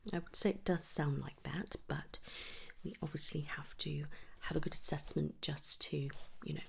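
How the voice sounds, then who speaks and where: Female ASMR clinician, soft whisper with husky fry, gentle and reassuring bedside delivery. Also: deep voice. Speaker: ASMR performer playing a trichologist at a hair clinic. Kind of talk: whispered ASMR medical roleplay.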